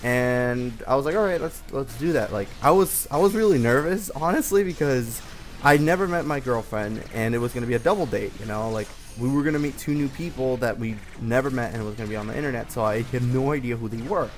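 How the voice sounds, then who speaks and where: A man's voice: mid-range voice, fast. A young man telling a personal story in a casual, rambling, hesitant conversational way. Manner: informal, hesitant, conversational delivery. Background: background music from a game.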